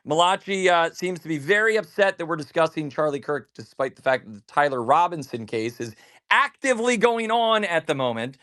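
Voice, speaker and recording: A man's voice: a little high, expressive, fairly quick, a bit bright. Pressing man hosting a heated space. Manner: pressing, interrogating, interrupts. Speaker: host of a live audio space. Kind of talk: heated live audio space moderation.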